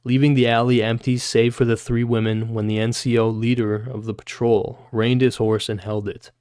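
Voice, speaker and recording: A man's voice: medium pitch, flat delivery, moderate pace, a little mellow, smooth, no room sound, studio quality. A man livestreaming, reading a novel aloud and breaking off into informal, puzzled asides with some swearing.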